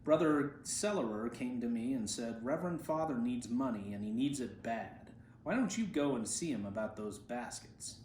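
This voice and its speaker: A man reading the words of a chatty, humble monk in his seventies, plain-spoken and gently amused, for a story. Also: mid-range voice, expressive, moderate pace, close to an everyday voice. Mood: recounting. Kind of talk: storybook reading of quoted speech. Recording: roomy echo, faint background noise.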